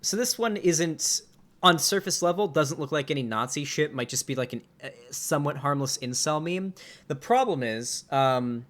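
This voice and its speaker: A young man in his twenties talks straight into a desk mic during a live stream, his voice sitting a little high for a man. He comments casually and breaks into exasperated, swearing outbursts. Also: moderate pace. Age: 20s. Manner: swearing, animated and exasperated, with laughs.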